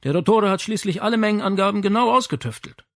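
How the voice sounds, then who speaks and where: A man's voice: high-pitched, lively intonation, quick pace, no room sound, clean studio sound, pitched above the performer's own voice. A man playing a firm, practical adult son in an audiobook, insistent and matter-of-fact. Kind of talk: audiobook character acting.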